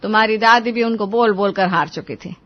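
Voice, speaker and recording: A woman's voice: mid-range voice, fairly quick, lightly acted. Casting: woman as comforting, resigned mother, moral-story drama, dull lo-fi sound. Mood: consoling. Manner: soothing, resigned. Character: consoling, resigned mother soothing her grown child.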